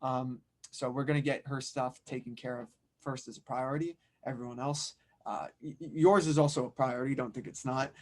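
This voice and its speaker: A man's voice: medium pitch, steady tone, fairly quick, few pauses. A man who narrates and directs an amateur audio drama table read.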